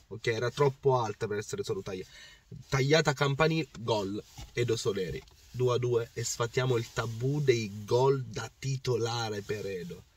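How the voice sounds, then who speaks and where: A man in his thirties with a worn-out, hoarse voice, passionately recapping a football match to camera. He rambles, repeats himself and restarts his sentences, and speaks from a parked car. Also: mid-range voice, distant mic, a little hiss or hum. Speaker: football fan vlogger. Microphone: phone or camera on the dashboard.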